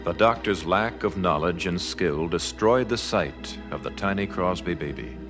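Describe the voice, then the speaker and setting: A man giving formal, measured, scripted narration as the announcer of an old-time radio drama. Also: low-pitched, expressive. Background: organ music.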